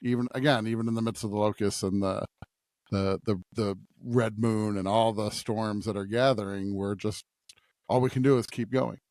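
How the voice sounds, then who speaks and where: Reflective man, halting delivery, dry sound. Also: on the low side, fairly even intonation, darkish tone, no room sound. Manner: reflective, halting, searching for words. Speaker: podcast co-host. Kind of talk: conversational religious discussion.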